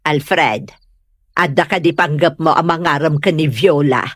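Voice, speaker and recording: A woman's voice: low voice, unhurried, fairly bright tone, no echo. A woman acting a stubborn, grudge-holding mother of a grown son in an Ilocano comedy drama. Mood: scolding, stubborn.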